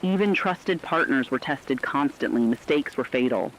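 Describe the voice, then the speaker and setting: A man with a medium-pitched voice narrating a scripted apocalyptic story in a solemn, measured manner. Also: expressive, rough recording.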